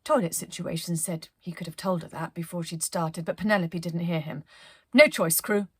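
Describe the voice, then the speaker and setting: A woman narrating a children's story as a storyteller, in an expressive read-aloud style. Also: on the low side, fairly quick, breathy edge, dry recording.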